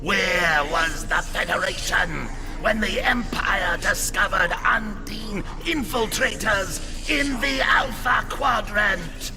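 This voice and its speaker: Proud, slow-spoken alien warrior ambassador. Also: male voice, high voice. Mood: accusing, angry. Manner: accusing, declamatory, forceful. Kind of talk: video game character acting. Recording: long hall reverb, music behind.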